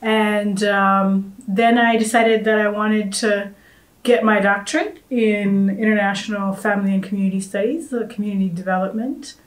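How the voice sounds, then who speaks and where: A woman from Uganda speaks as a guest on a conference panel. Her speech is soft and halting, broken by uhs and small restarts as she talks. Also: medium pitch, steady tone, echoey room, low-quality recording. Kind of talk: casual conference panel talk.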